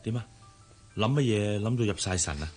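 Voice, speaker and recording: A man's voice: on the low side, lively intonation, a little fast, darkish tone, husky, soft background music. A man playing an easygoing, hard-working married businessman in a radio drama. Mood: attentive.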